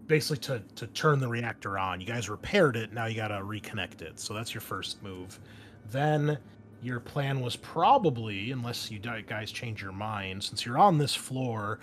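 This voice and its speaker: A man's voice: fairly low pitch, a little hiss or hum. A man hosting a tabletop roleplaying game as game master, recapping in a casual, rambling, joking and teasing way. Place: indoors at a desk.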